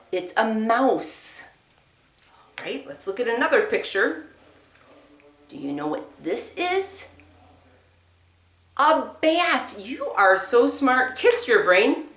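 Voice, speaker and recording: Woman teacher for small kids, slow and lilting, slightly high, roomy echo. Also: fairly bright tone. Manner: sing-song praise to young children, slow exaggerated words. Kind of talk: early-childhood rhyming lesson.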